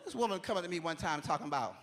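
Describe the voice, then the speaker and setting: A man's voice: fairly high pitch, expressive, racing, fairly bright tone, some chatter behind. A man, telling conversational stories and leaning on "you know what I'm saying.". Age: 40s. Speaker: stand-up comedian. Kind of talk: stand-up comedy routine.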